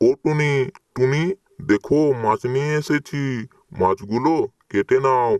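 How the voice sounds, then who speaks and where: A man's voice: medium pitch, a little slow, darkish tone, clear, resonant tone, no room sound, lightly acted. A man voicing a matter-of-fact father bird in a family cartoon. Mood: cheerful. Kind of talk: scripted cartoon voice acting.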